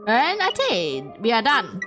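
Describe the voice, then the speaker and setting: A woman's voice: a little high, wildly swooping pitch, takes it slowly, a bit bright, faint music behind. Woman musing through a mystery game. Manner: thinks aloud, musing over clues. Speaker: let's play host. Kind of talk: casual game commentary.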